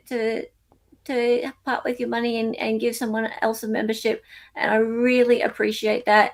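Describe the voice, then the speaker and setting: An Australian woman with a fairly high voice hosts a casual live chat. She speaks in an effusive, encouraging manner, full of thanks for the people watching. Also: creaky. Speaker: livestream host.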